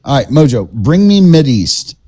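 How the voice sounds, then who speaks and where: A man's voice: mid-range voice, very animated, moderate pace, dark tone. Crude, taunting man on a lo-fi stream. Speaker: debate panelist. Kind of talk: heated live-stream debate.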